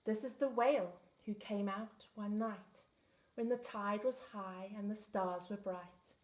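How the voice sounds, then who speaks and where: Slow-reading woman in an echoey room. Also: mid-range voice, darkish tone. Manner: rhythmic, lilting rhyme reading. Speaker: teaching assistant. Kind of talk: storybook read-aloud.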